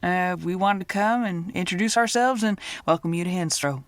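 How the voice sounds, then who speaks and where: A woman's voice: on the low side, moderate pace, no echo, close to an everyday voice. An actress playing a polite, folksy but guarded small-town pastor's wife in her thirties in a screenplay reading. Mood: folksy welcome. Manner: folksy, genteel, euphemistic. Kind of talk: screenplay table-read acting.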